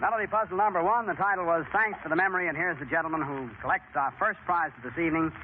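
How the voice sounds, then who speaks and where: A man's voice: fairly high pitch, fast, over loud music. A man hosting a 1930s radio quiz show with bantering patter. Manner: bantering, repeats contestants' answers. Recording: tinny, hissy 1930s radio recording.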